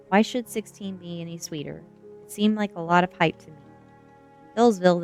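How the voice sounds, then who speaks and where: A woman streamer with a soft, gentle delivery, reading a book aloud in an ASMR style and chatting casually to viewers. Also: on the low side. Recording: quiet music behind the voice. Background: faint background music.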